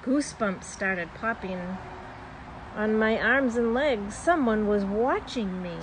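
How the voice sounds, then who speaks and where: A woman's voice: mid-range voice, expressive, slow pace, noisy background. A woman reading a children's story aloud expressively, drawing out words for young listeners.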